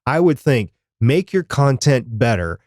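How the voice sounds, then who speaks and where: A man's voice: medium pitch, big pitch swings, a little slow, a little mellow, no echo, studio quality. A man speaking as a podcast co-host in a casual, conversational chat.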